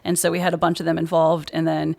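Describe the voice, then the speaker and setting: A woman's voice: low-pitched, flat delivery, fast talker, vocal fry, a little mellow. A woman speaking as a guest in a casual podcast interview, explaining things informally as a school drama director.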